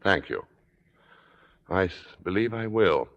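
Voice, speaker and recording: A reverberant old radio play carries a suave, cunning drama critic. His low voice swoops expressively through urbane, deliberate phrases, with music behind him. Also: sing-song, gravelly, dull audio, slight background noise, exaggerated voice. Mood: probing, silky. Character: suave, worldly theater critic, cunning and probing. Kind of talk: 1950s radio drama acting and narration.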